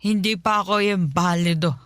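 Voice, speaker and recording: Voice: medium pitch, normal speed, a bit bright, dry recording, studio quality, close to an everyday voice. An actor playing a bitter, fault-finding elderly mother, bedridden after a stroke, complaining and sighing in a Filipino radio drama. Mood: bitter, stubborn. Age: older.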